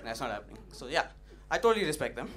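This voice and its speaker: A young man doing an open-mic stand-up set, punchy and animated, teasing the audience, on a stage mic. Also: medium pitch, very fast. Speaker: stand-up comedian. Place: comedy club stage. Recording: noisy live club recording.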